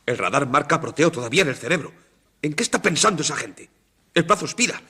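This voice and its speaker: An actor playing a tense doctor in command of a desperate mission in a radio drama. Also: male voice, a little high, expressive, fast talker, pushed and bright, husky, full character voice. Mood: agitated, alarmed. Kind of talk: vintage Spanish radio drama acting.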